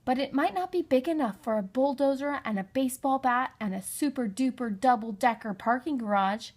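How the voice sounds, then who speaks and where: A woman's voice: high-pitched, fairly quick. A woman voicing an eager, stubborn little boy in a children's storybook, earnest and emphatic. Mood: eager, determined. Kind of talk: children's storybook character reading.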